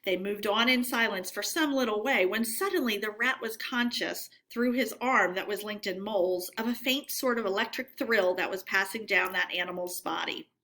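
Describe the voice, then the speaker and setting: A woman narrating a storybook read-aloud in an expressive, measured, teacherly way. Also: medium pitch.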